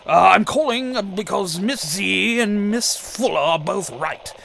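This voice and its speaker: An actor playing a nervous, fussy scientist informant in a radio drama, stammering and formally precise. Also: male voice, high-pitched, animated, measured pace, vocal fry, fairly bright tone, a little hiss or hum, full character voice. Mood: anxious, stammering. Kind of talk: old-time radio drama acting.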